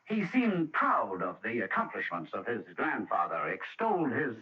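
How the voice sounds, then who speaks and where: An actor playing an awkward, apologetic school official in a radio drama, hesitant and formal with a stammer. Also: male voice, on the low side, huge pitch swings, normal speed. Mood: nervous, apologetic. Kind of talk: old radio drama acting. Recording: dull, distant old radio recording.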